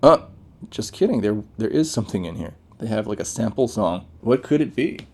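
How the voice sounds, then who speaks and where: Low-voiced, creaky casual man reviewing gadgets. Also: big pitch swings, normal speed, a little mellow, a little hiss or hum. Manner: off-the-cuff, thinking aloud while reading out menus. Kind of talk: casual unboxing, first-impressions commentary.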